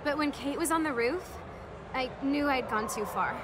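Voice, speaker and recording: A woman's voice: high-pitched, moderate pace, noisy background, natural voice. A woman playing a sociable teenage party girl in a video game, talking in casual girlish slang. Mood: confiding. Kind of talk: video game cutscene dialogue.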